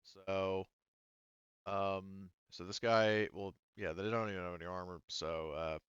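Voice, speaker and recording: A man with a lowish, clean voice runs a tabletop roleplaying game. He talks rules through casually and often corrects himself. The sound is close and dry with a slightly muffled top. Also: fairly even intonation, a little slow, fairly bright tone, clear, resonant tone, dry recording. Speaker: game master.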